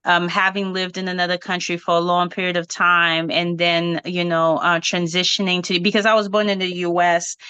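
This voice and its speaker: A woman's voice: lowish voice, little pitch movement, normal speed, hardly any pauses, pushed and bright, clean tone, no room sound, highs cut off. A woman who grew up in Haiti and speaks French as her first language, giving thoughtful interview answers with frequent ums and you-knows. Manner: thoughtful, many fillers like um and you know. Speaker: guest, writer and editor.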